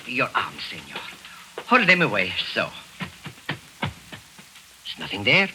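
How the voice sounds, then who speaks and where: An oily little schemer. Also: male voice, fairly low pitch, wildly swooping pitch, slow, heavily acted. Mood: gloating. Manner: oily, ingratiating, mocking. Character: Mosca, small sly scheming middle-aged man. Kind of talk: 1940s radio acting. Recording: old radio broadcast with faint hiss.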